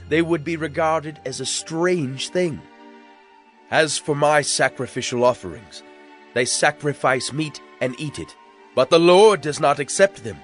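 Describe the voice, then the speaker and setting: Wrathful God for dramatized scripture, male actor: fairly high male voice, grave and declamatory, background music. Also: close to an everyday voice. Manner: solemn, declamatory, deliberate phrasing. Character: wronged, wrathful male God pronouncing judgment through prophecy. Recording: music bed under the voice.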